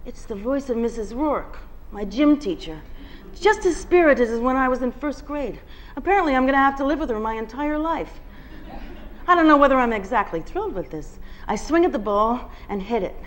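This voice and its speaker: A woman's voice: sits high, expressive, fairly quick. A woman reading a novel aloud at a bookstore, telling the first-person story with expression. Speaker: guest reader. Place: bookstore reading before an audience.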